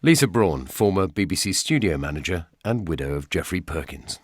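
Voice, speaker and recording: A man's voice: deep voice, lively intonation, fairly quick, no room sound, studio quality. A man narrating a scripted radio documentary.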